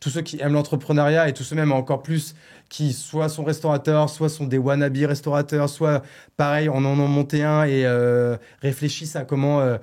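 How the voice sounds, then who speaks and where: Rambling, slangy French podcast host. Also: male voice, on the high side, flat delivery, normal speed, darkish tone. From born in Paris, living in Bordeaux. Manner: loose, rambling, slangy, self-mocking. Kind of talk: casual podcast conversation. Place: agency studio set.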